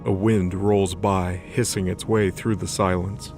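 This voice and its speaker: A man's voice: low-pitched, little pitch movement, a little slow, over music. A man giving scripted third-person narration as the storyteller of an audio drama.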